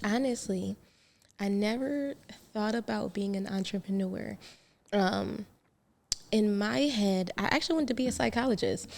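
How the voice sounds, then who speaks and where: Relaxed, candid woman guest in her thirties. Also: mid-range voice, a little slow, creaky. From Trinidad. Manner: relaxed and candid, laughs easily, reflective. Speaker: guest, business founder. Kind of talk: casual podcast interview.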